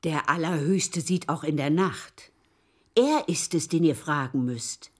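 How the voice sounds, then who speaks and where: Eine Schauspielerin spielt in einem deutschen Hörspiel eine fromme, abergläubische Magd, die eifernd, vorwurfsvoll und in altertümlich förmlicher Anrede spricht. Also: female voice, low voice, unhurried, breathy edge. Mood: indignant. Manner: fervent, reverent, formal archaic address. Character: pious, superstitious Italian maidservant, fervent and reproachful. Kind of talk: German radio play acting.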